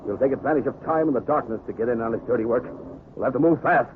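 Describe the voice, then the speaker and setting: A heroic pirate captain, acted by a man, fires off stern orders at a rapid clip. The delivery is authoritative old-time radio adventure acting, heard through a tinny vintage broadcast with faint noise. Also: medium pitch, close to an everyday voice. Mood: firm, urgent. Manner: brisk, authoritative.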